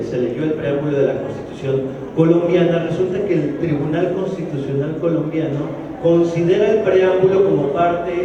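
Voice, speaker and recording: A man's voice: a little high, noisy background. Mexico City man lecturing in echoey hall. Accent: Mexico City Spanish. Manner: conversational, joking asides, frequent restarts. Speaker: lecturer at a public forum. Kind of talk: public lecture to a live audience. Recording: echoey hall from far off, dull. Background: audience in a large hall.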